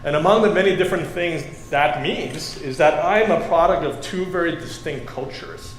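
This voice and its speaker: A man from Taiwan giving a conversational sermon as a guest preacher, pausing often with fillers. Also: on the high side, slight background noise. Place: church sanctuary. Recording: echoey church room, faint ambience. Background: congregation.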